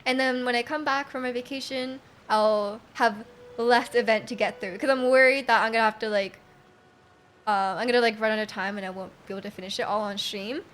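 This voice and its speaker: A woman live streaming a game, chatting casually with an excitable manner and often repeating her phrases. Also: fairly high pitch, a little fast. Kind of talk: casual live stream chat while playing. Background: game music.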